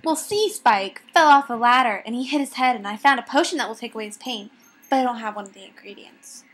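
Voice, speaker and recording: A woman's voice: on the high side, quick pace, fairly bright tone, husky. A woman voicing a proud, nerdy female cartoon unicorn who explains things earnestly and is eager to help.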